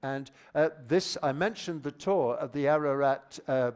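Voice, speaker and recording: A fairly high-voiced older man speaks into a handheld microphone to a teachers' audience. He is lively and informal. He often stumbles and restarts as he echoes listeners' comments. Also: unhurried, clean tone. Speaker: plenary speaker on teaching methodology. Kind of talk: live conference talk with audience discussion.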